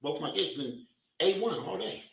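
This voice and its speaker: A man's voice: fairly high pitch, animated, moderate pace, gravelly, dull audio, noisy background. A man with a stuffed-up, congested voice, talking in a rambling way full of fillers. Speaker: interviewee. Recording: echoey far-off archival clip.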